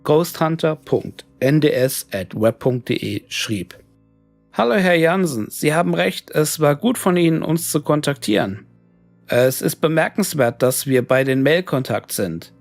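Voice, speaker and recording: A man's voice: on the high side, animated, unhurried, creaky, dry recording. A man reading a scripted horror story made up of written messages. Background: music.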